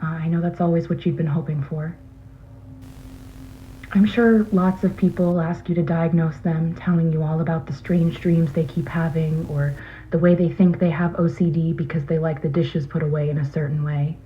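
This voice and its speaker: A troubled female botanist, played by a woman, confides in an old friend on a recorded message. Her voice is low, hesitant and intimate. The tape is muffled and lo-fi, with faint noise under the dramatic monologue. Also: level tone, normal speed, close to an everyday voice. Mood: confiding.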